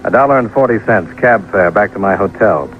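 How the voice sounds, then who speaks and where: A man's voice: fairly low pitch, lively intonation, a little fast, soft background music, close to an everyday voice. A male radio actor playing a hard-boiled insurance investigator, narrating his case in a dry, matter-of-fact way. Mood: dry, wry. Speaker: detective narrator. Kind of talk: old-time radio scripted narration. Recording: muffled, noisy old radio recording.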